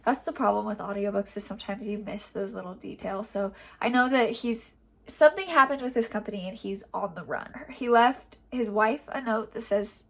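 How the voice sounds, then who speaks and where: A woman's voice: medium pitch, normal speed, gravelly. A young woman with a cold and a congested, nasal voice, chatting casually in a rambling reading update full of fillers. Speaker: book vlogger.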